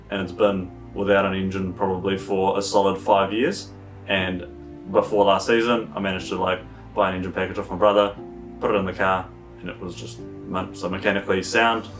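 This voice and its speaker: A man's voice: on the low side, a bit bright. A man in his twenties telling his story in a documentary interview, chatty with dry humour. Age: 20s. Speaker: drift driver interviewee. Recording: distant mic with music bed. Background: guitar music.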